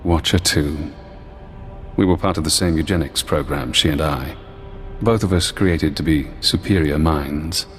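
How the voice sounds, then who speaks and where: An actor playing a brilliant, paranoid imprisoned intelligence analyst in a video game, speaking in measured, clipped commands. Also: male voice, extremely low pitch, fairly bright tone. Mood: calculating. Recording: long hall-like reverb, music behind. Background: game music.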